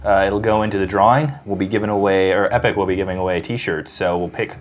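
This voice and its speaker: Brief: low male voice, halting casual explainer with lots of uh's. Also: fairly even intonation. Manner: halting, many fillers. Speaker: podcast guest from beer app. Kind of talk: casual chat explaining a contest.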